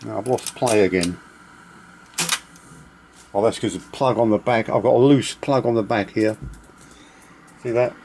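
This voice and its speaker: A man giving a casual, unscripted hands-on demonstration, speaking haltingly as he thinks aloud. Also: fairly low pitch, darkish tone, a little hiss or hum. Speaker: vintage audio equipment collector.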